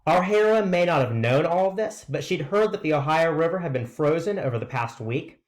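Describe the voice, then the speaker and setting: A man's voice: a little high, expressive, a little fast. A man reading aloud in a scripted narration style, presenting a written story to listeners. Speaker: reader presenting a read-aloud.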